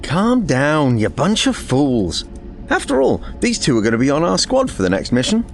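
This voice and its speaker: An actor playing a cocky, theatrical squad leader of rangers in an audio drama. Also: male voice, a little high, huge pitch swings, moderate pace, gravelly, slight background noise, exaggerated voice. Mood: showy.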